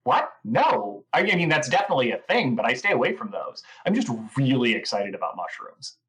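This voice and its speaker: A woman's voice: a bass voice, sing-song, a little fast. A woman playing a gushing, rambling gnome who is obsessed with mushrooms, in a comedic audio drama. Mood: eager lecturing. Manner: gushing, rambling, wide-eyed enthusiasm.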